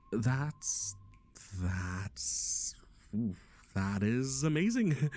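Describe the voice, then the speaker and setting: A man's voice: mid-range voice, warm tone, full character voice. A man playing a chatty, playful matchmaker in an ASMR roleplay, with a theatrical, teasing, conversational delivery. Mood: incredulous, then delighted, flirtatious.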